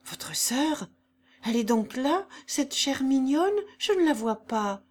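A woman's voice: high-pitched, big pitch swings, slow, pitched above the performer's own voice, full character voice. A woman voicing a sweet, simple young peasant girl, dazed and talking in a naive rustic way. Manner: naive rustic speech, bright and eager.

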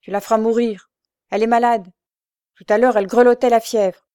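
A woman's voice: medium pitch, a little fast, warm tone, clear, resonant tone, no echo, studio quality, pitched above the performer's own voice, natural voice. A woman voicing an anxious, protective knife-grinder in a story, earnest and pleading, with simple working-class speech. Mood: worried. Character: anxious, protective knife-grinder, meek younger brother growing bold.

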